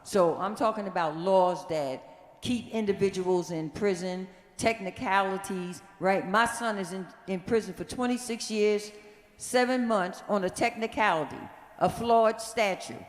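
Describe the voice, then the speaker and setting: An audience member asking an earnest, insistent question at a public forum, halting at times. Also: mid-range voice. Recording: echoey hall with long reverb.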